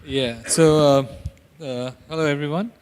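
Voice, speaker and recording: A man's voice: a little high, steady tone, a little slow, darkish tone, a little hiss or hum. Male founder from India, hesitant panel talk, echoing hall. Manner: hesitant, many fillers and restarts. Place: conference hall. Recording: reverberant hall.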